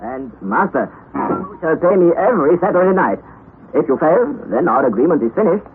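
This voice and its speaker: An actor playing a calm, burly Icelandic hunter and guide in an old-time radio drama, speaking in terse, deliberate, simple phrases. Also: male voice, on the high side. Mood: firm. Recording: noisy, tinny vintage radio recording.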